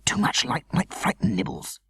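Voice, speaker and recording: A man's voice: normal speed, pushed and bright, no echo, studio quality, lightly acted. A man voicing a stern young boy, fiercely protective, in a hissing whisper for a children's audiobook.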